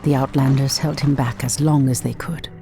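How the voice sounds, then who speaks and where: A woman's voice: rumbling low, steady tone, moderate pace, dark tone, faint music behind. A woman playing a proud, resolute night elf priestess warrior in a fantasy video game, formal and commanding. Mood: earnest.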